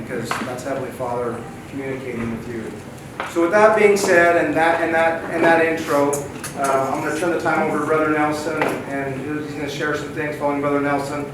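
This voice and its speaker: Halting man in an echoey chapel. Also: on the high side. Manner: halting, repeats words, earnest. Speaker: bishopric member leading a church lesson. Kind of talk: church lesson introduction. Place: church meeting room. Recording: echoey hall, distant mic, noisy.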